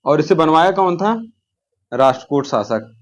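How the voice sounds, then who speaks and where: A man's voice: fairly high pitch, expressive, normal speed. A man teaching an informal exam-prep lesson, posing questions, answering them himself and repeating key terms.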